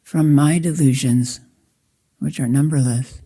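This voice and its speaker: A woman meditation teacher guiding a practice in slow, deliberate short phrases with long pauses. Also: extremely low pitch, fairly even intonation, warm tone, slightly rough. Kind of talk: guided meditation, slow spoken instruction.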